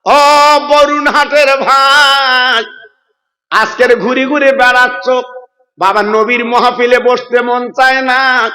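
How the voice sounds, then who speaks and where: A man preaching a melodic storytelling sermon in an emotive, plaintive, drawn-out chant. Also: extremely high pitch, pushed and bright. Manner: drawn-out, emotive, plaintive chanting delivery with direct appeals to listeners. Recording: hall-like long reverb.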